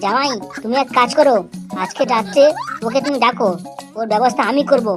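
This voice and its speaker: A woman's voice: a little high, steady tone, fairly quick, lightly acted. A woman playing a nosy, bawdy middle-aged village mother-in-law in a comedy cartoon, scolding and suggestive. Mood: meddling. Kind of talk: Bengali comedy cartoon dialogue. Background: background music.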